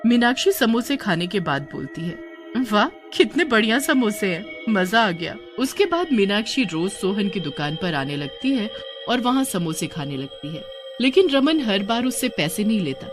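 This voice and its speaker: A woman reads a scripted tale as narrator. Her mid-pitched voice is expressive and animated, moving freely up and down, with clearly audible music behind it. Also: normal speed. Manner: expressive storytelling.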